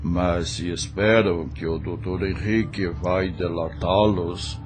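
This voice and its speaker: A man playing a steady, protective ally of fugitives in an audio drama, grave and reassuring. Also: low-pitched, takes it slowly, a little hiss or hum.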